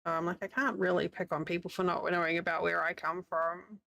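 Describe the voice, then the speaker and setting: A woman's voice: on the low side, little pitch movement, a little fast, gravelly, bright, forceful tone, no room sound. A woman from New Zealand with a New Zealand accent, chatting casually with her live chat while she crochets, rambling and laughing often. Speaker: streamer. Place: home, living in the United States.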